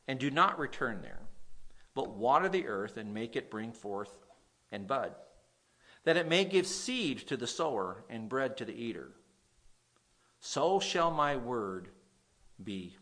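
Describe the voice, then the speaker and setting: Nasal-voiced man preaching a sermon. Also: medium pitch. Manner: reads scripture aloud, swings between loud emphasis and quiet; occasional stutter on words. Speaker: pastor.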